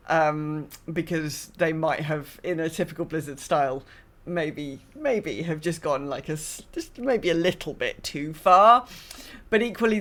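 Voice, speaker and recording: High-voiced man chatting casually. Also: a bit bright. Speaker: podcast guest. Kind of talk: casual gaming podcast chat.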